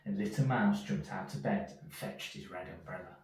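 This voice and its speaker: A man reading a children's story aloud in an expressive storyteller's manner. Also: low-pitched, moderate pace. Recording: echoey room, distant from mic.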